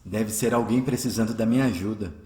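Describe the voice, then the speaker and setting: A Brazilian man acting a gentle, benevolent healer of the 1910s in a radio drama, speaking earnestly and soothingly. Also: on the low side, brisk, lightly acted. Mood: kindly, calm. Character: gentle, saintly male spiritist healer of the 1910s.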